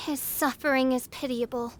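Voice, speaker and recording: Dramatic haughty noble girl in a game, high voice, drawn out slow, super pompous old timey talk. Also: fairly even intonation, rough recording. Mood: grave, theatrical. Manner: pompous, melodramatic, florid, alliterative. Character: theatrical, haughty young noblewoman speaking in grand archaic prose. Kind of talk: video game voice acting.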